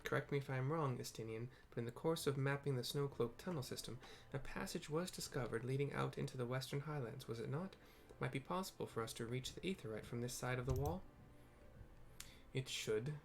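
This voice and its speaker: A man's voice: medium pitch, fairly quick, gravelly, lightly acted. A man voicing earnest, formal fantasy game characters, reading wordy archaic dialogue with the odd stumble. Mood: measured, formal.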